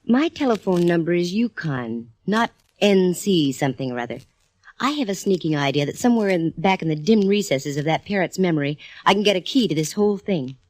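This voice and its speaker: An actress playing a wisecracking private detective who also narrates, in a brisk 1940s radio drama. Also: female voice, lowish voice, animated, darkish tone, no echo.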